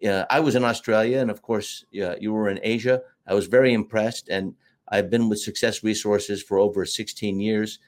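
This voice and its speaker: A man's voice: fairly low pitch, fairly even intonation, normal speed. A middle-aged American man, a marketing teacher talking at length as an interview guest, in an expansive storytelling way with fillers. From United States. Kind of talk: casual interview talk.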